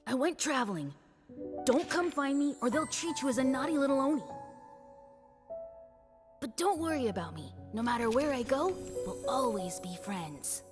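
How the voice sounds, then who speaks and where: A gentle, self-sacrificing blue oni from an old tale, as a woman storyteller puts him on, speaking softly from the heart in a high, lilting voice. Game music plays in the background. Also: lively intonation, moderate pace, long pauses. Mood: tender.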